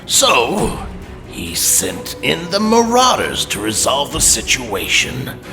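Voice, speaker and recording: A man playing a savage, sadistic mutant villain in an audio drama, taunting with a snarling, menacing rasp. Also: mid-range voice, wildly swooping pitch, sharp tone, exaggerated voice. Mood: smug menace. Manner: menacing, mocking, drawn-out. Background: dramatic music.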